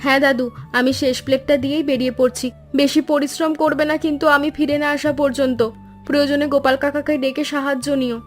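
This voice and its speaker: A dutiful college-age granddaughter voiced by a woman with a high voice. She sounds earnest and caring, in a cartoon storybook style. Light music plays behind her. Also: flat delivery, fast talker, natural voice. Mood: concerned. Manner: earnest, solicitous. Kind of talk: animated storybook cartoon dialogue. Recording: music bed under the voice.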